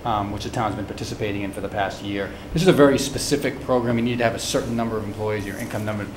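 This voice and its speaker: A man's voice: lowish voice, fairly even intonation. A man serving as town administrator, giving an earnest report at a town meeting, with frequent restarts, on a table microphone in a town hall room. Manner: earnest, fast, with many restarts. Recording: echoey room with hiss.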